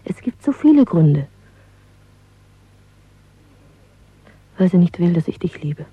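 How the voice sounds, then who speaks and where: Bold rebellious young lover, husky breathy voice, direct manner, radio play, dry phone-like recording. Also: female voice, on the low side, big pitch swings, brisk, dry recording. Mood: passionate. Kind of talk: German radio drama acting. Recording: thin, phone-like old radio sound, dry.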